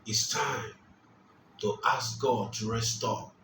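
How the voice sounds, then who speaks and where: Male preacher, slow, emphatic delivery, repeats key lines, mid pitch, distant mic in an echoey room. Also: fairly even intonation, sharp tone, slight background noise. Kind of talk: sermon. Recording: roomy, distant mic, faint ambience.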